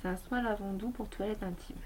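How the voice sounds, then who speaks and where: A woman's voice: medium pitch, steady tone, a little fast, a little mellow, a little hiss or hum. A woman beauty vlogger with a slight head cold, chatting casually and rambling through a review of her toiletries. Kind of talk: casual product review chat.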